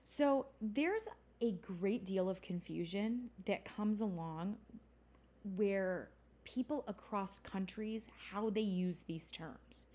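Woman lecturing in a mellow voice. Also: mid-range voice, slow pace. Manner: conversational, explanatory, with fillers and self-corrections. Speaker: college instructor. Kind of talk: recorded lecture.

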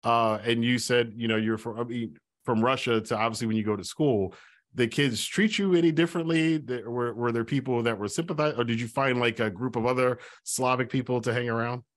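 A man's voice: medium pitch, a little fast. A man in his forties hosting a casual interview at a close studio mic, rambling and gesturing as he talks. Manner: rambling, restarts, animated with gestures. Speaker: podcast host. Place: small home studio.

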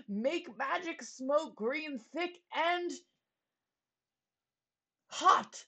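A woman voicing a group of scheming magicians, reciting a slow sing-song spell. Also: a little high.

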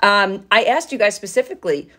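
She is a woman around her thirties with a mid-pitched voice and an emphatic, animated delivery. She talks like a coach hosting an informal live session, chatty and unpolished, picked up by a phone in a small room. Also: fairly quick. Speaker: live show host and fitness coach. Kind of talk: casual live discussion, reading viewer comments. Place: small office with drop ceiling.

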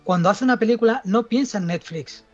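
A man's voice: sits high, brisk, a little mellow, muffled recording. A middle-aged man with an accent from Spain, a filmmaker talking insistently in a casual panel discussion. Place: indoors at home, video call.

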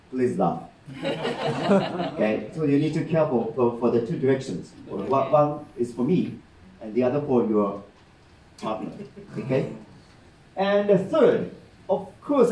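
Animated Japanese-accented man telling stories. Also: a little high. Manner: animated, warm explaining with gestures and frequent "okay?" checks. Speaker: rakugo storyteller. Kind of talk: live comic storytelling to an audience. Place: meeting room, indoors. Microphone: far camera in the audience. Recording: roomy sound from a distant camera.

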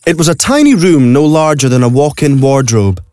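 A man's voice: on the high side, expressive, moderate pace, darkish tone, dry recording, studio quality. A man narrating a scripted audio drama as a storyteller. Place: recording studio. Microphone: close studio microphone.

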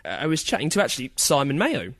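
A man's voice: mid-range voice, huge pitch swings, fast talker, fairly bright tone, no room sound. A man hosting an interview, asking long conversational questions that he tentatively rephrases as he goes. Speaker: podcast host, interviewer.

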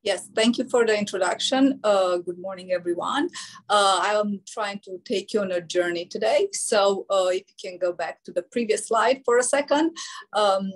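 A woman's voice: mid-range voice, normal speed, rough recording. A middle-aged woman from Romania with a Romanian accent, presenting on an online panel over a video call, with frequent hesitations. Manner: frequent hesitations with many uh fillers.

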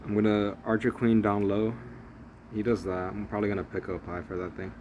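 A man's voice: lowish voice, level tone, brisk, darkish tone, dull audio, a little hiss or hum. A young man rambling through casual, profane play-by-play of a mobile strategy game as a gaming channel host. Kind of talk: casual gameplay commentary.

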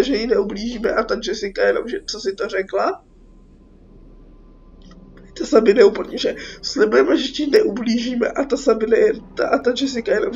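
A woman's voice: fairly high pitch, gravelly. A young Czech woman giving casual, sarcastic let's play commentary, informal and sweary. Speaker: gaming YouTuber. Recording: dull lo-fi mic with faint noise.

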